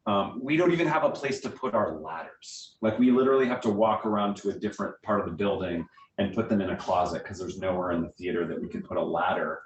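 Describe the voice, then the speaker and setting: An American man with a fairly low voice speaks conversationally as a guest at a meeting, explaining things in everyday terms. He sounds distant from the mic in an echoey room, with faint noise underneath. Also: fast. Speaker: guest speaker, theatre director. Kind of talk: informal question-and-answer talk to a small meeting.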